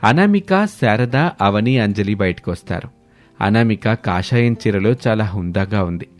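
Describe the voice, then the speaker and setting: A man's voice: fairly low pitch, expressive, unhurried, soft background music. A man reading scripted narration for a moral family story.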